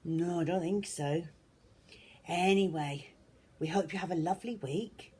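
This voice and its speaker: A woman's voice: on the low side, measured pace, dark tone. A woman reading a picture book to young children expressively and dramatically, often dropping toward a whisper. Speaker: children's storyteller.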